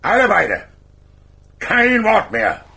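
An actor playing a big, cosy, bossy talking stove in a children's radio play, gravelly, grumbling and soothing by turns. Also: male voice, high-pitched, huge pitch swings, sharp tone, heavily acted. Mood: stern, bossy. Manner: drawn-out, soothing then commanding.

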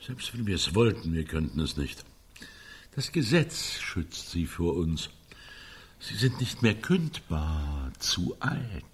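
An actor playing a pragmatic, sarcastic middle-aged older brother in a German radio play, dry and given to emphatic repetition. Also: male voice, low-pitched, sing-song, takes it slowly, husky, exaggerated voice. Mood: dismissive. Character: pragmatic, sardonic older brother, a business consultant.

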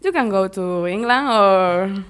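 A young woman whose first language is Spanish answers interview questions in careful learner English. She speaks slowly and haltingly, her voice smooth and clear. Fillers and little laughs run through her talk. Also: medium pitch. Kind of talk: casual interview chat.

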